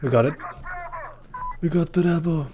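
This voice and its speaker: A man's voice: a little high, measured pace, noisy background. A young man from Uruguay, chatting casually while streaming a game and reading chat aloud. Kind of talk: live gameplay commentary. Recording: faint gunfire behind voice.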